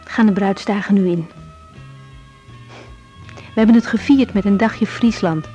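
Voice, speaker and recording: A woman's voice: medium pitch, a little slow, dark tone, dull audio, faint music behind, lightly acted. An actress playing a tired, caring young woman doctor in a naturalistic radio drama, plain and matter-of-fact. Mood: reflective, weary. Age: young adult.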